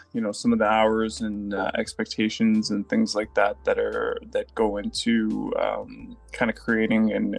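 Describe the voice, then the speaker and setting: A man introducing himself in an online meetup, speaking in a measured, hesitant way. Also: lowish voice, vocal fry. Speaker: meetup participant. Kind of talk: casual self-introduction.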